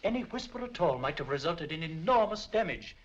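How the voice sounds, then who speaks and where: An actor playing a formal, grave official in a 1940s film. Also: male voice, fairly high pitch, very animated, brisk. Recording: dull lo-fi 1940s film soundtrack.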